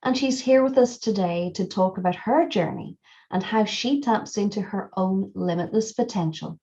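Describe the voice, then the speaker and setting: A woman's voice: medium pitch, normal speed, dark tone, dull audio. A woman hosting a podcast, delivering scripted introductions and interviewing her guest. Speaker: podcast host and coach.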